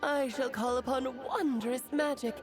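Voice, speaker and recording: A woman's voice: high-pitched, fairly even intonation, a little slow, music in the background. A woman voicing a bold, defiant witch in a video game, proud and declamatory.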